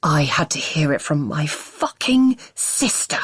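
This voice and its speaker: A woman reading the part of a furious, controlling man who snarls his words slowly and with scorn. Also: fairly low pitch, expressive, slightly rough, dry recording. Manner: snarling, slow and menacing.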